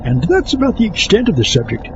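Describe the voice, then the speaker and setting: A man playing a fussy, high-voiced pompous film scholar. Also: huge pitch swings, fast talker, muffled recording, background noise, heavily acted. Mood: pompous lecturing. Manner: pompous, digressive lecturing. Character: fussy, pompous male horror film scholar, easily exasperated. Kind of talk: comic scripted film-history monologue.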